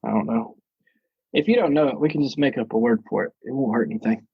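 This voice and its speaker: A man's voice: mid-range voice, fast, vocal fry, breathy edge, dry recording. Laid-back man on a muffled line. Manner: laid-back, short replies and prompting questions. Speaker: podcast co-host. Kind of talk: casual conversation.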